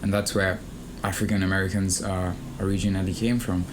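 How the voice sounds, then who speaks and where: A young man from Kenya co-hosting a student podcast, speaking thoughtfully with frequent pauses and fillers. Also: low voice, little pitch movement, background noise. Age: young adult. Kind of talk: casual conversation.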